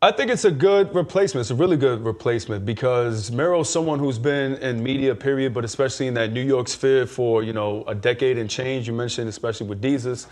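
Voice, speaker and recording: Guy panelist talking culture news, casual n slangy, explains stuff in detail, medium pitch. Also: a little fast, few pauses, a little mellow. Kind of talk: casual opinionated chat.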